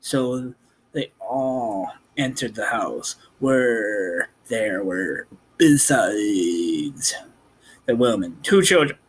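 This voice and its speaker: Slow, husky man reading a story. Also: fairly low pitch, poor audio. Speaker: host reading an audiobook. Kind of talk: amateur storybook narration.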